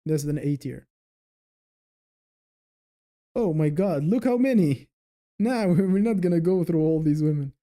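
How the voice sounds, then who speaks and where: Very dark-voiced man chatting on a livestream. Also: on the high side, lively intonation, measured pace, pauses often. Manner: rambling, thinking aloud. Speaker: livestream host. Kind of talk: casual livestream chat with viewers.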